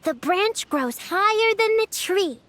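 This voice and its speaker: A woman's voice: very high voice, expressive, slow pace, fairly bright tone, exaggerated voice. A woman voicing an easygoing little ninja girl in an anime game, matter-of-fact and fond of sleep. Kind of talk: English anime game voice acting. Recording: music behind the voice.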